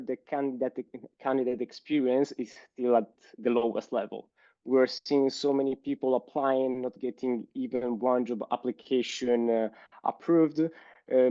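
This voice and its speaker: Italian guy on a podcast, mellow voice, kinda muffled dry sound, lots of uhs. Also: medium pitch, no echo. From Italy. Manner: thoughtful, hesitant with frequent "uh" pauses. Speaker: guest. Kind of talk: casual interview answers. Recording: slightly dull lo-fi sound.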